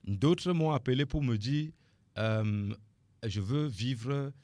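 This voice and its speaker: A man's voice: mid-range voice, takes it slowly, darkish tone, dry recording. A man from Togo speaking as a nutrition guest on a sports radio show, giving emphatic, repetitive advice to listeners with many hesitations. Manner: emphatic, repetitive, preaching tone with frequent fillers. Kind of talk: radio talk, advising listeners.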